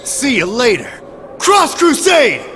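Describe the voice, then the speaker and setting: A man's voice: extremely high pitch, wildly swooping pitch, slow, over loud music, exaggerated voice. A man voicing a cocky, brash young fighter in a video game, calling out in battle. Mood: cocky, fierce. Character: cocky, quick-acting young male fighter ally.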